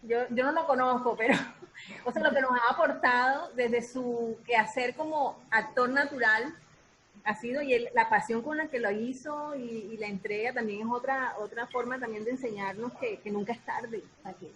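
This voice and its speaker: A woman's voice: fairly high pitch, normal speed, fairly bright tone. A woman chatting informally in a panel, often restarting her phrases. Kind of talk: casual panel discussion. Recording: noisy room with ambience.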